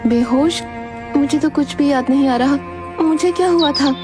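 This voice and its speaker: A woman's voice: sits high, normal speed, music in the background, voice pushed up, lightly acted. A woman voicing a concerned woman of an Indian family in a cartoon story, with an earnest delivery. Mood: confused.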